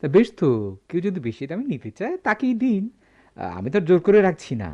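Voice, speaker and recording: A mellow, shrewd gold merchant. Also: male voice, high voice, sing-song, quick pace, dull audio. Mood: wheedling, dismissive. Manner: hesitant hemming, calculating, offhand. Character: shrewd, tight-fisted male gold merchant, middle-aged boss. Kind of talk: radio drama acting.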